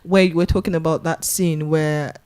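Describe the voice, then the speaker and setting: A young woman with a lowish voice, thinking aloud about her craft in an onstage chat. She talks in a measured, reflective way, breaking now and then into self-mocking humor. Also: steady tone, darkish tone. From Nigeria. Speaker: novelist guest. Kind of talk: live festival conversation.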